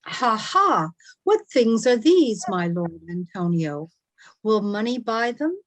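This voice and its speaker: A woman's voice: on the high side, big pitch swings, slow. A woman playing a mocking, amused nobleman in a Shakespeare play reading.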